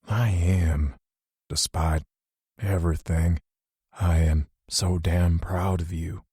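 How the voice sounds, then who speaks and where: A man voicing a gentle, loving father near death, speaking softly and weakly in a slow, low voice. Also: steady tone, husky, no room sound, studio quality, exaggerated voice. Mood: tender. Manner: soft, weak, slow speech. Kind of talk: audiobook character voice.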